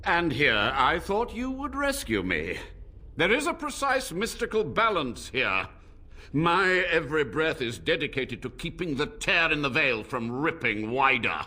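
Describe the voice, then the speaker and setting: An actor playing an arrogant old mage in a video game, speaking in a haughty, lofty, dramatic way. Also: male voice, fairly high pitch, sing-song, unhurried, sharp tone, full character voice. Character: arrogant old human mage, cold and scornful.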